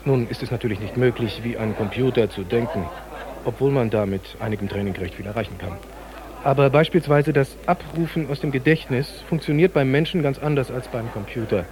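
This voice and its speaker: Ein Schauspieler spielt in einem Hörspiel einen ernsten Computerwissenschaftler, der präzise und lehrhaft sein System erklärt. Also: male voice, medium pitch, fairly quick, a crowd in the background, natural voice. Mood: earnest, explanatory. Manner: didactic, precise, technical. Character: male computer scientist explaining his system, earnest and clinical. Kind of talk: radio drama dialogue.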